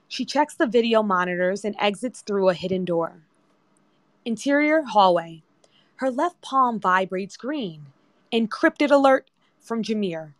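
A woman's voice: medium pitch, no room sound. Dry-sounding woman narrating a screenplay. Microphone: remote audio chat app.

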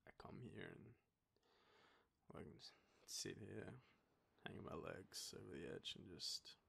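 A young Australian man acting an intimate boyfriend roleplay as a shy, nervous partner, speaking softly and haltingly into a close microphone. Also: deep voice, fairly even intonation. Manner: hesitant, nervous, halting with breathy trailing phrases. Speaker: audio roleplay performer. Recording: faint background noise, ambient sound.

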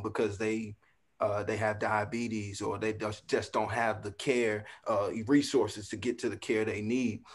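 A man in his thirties talks easily and at length, leaning on fillers and asides. His voice sits a little low. He comes through a video call from a room at home. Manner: laid-back, talks freely with fillers and asides. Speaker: actor guest. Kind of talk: casual video call chat.

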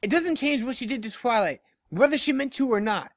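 A narrator voicing a brash, hostile young female pegasus pony, accusatory and forceful, in a story. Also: medium pitch, animated, fairly quick, no echo, studio quality, voice pushed up, lightly acted. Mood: defiant, sneering.

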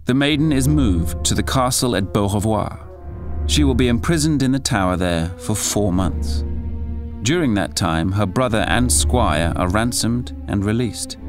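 A man playing a solemn chronicler, giving formal, measured scripted narration in a historical audio drama. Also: lowish voice, lively intonation. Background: music under the narration.

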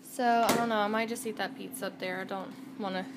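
A woman's voice: mid-range voice, fairly even intonation, fast talker, noisy background. A young woman in her twenties chatting casually through her day in a home kitchen, filmed on a handheld phone camera. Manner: chatty, rambling, bubbly. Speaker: vlogger. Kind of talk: casual daily vlog chat. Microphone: phone camera at arm's length.

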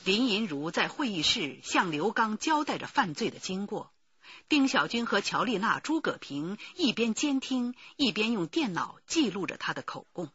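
Woman narrating radio crime play, husky, lively delivery, bit muffled. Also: medium pitch, moderate pace. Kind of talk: scripted radio drama narration. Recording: dull, muffled recording.

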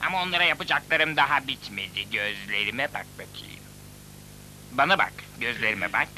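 Gloating, piercingly bright cartoon villain. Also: male voice, mid-range voice, lively intonation, normal speed. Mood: sinister. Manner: theatrical, taunting. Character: gloating, scheming, boastful cartoon villain rival baker. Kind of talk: dubbed cartoon acting. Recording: faintly noisy old VCD rip.

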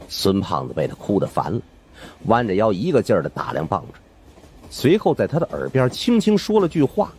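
A man's voice: sits low, darkish tone, slight background noise. A man narrating a scripted first-person audiobook story in a colloquial storyteller's manner.

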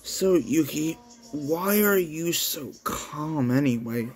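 Man reading a troubled anime girl, slow. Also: on the high side, lively intonation, slightly rough, soft background music, pitched above the performer's own voice, full character voice. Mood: puzzled.

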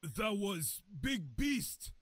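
Man acting a husky, very slow-spoken hunter. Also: high voice, very animated, fairly bright tone, no echo, exaggerated voice. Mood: stoic. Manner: halting, terse. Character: big, stoic injured jungle hunter of few words. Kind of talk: visual novel voice acting.

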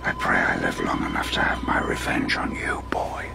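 Croaking, dying, vengeful old king. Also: very deep voice, huge pitch swings, normal speed, whispered, heavily acted. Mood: venomous, failing. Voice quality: croaking, breathy rasp. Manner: venomous, straining.

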